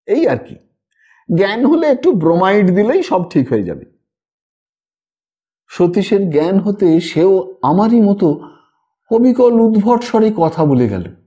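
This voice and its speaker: A man narrating a ghost story as a dramatic audiobook, with measured, expressive and suspenseful delivery. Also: high-pitched. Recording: dull lo-fi voice track over music. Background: background music.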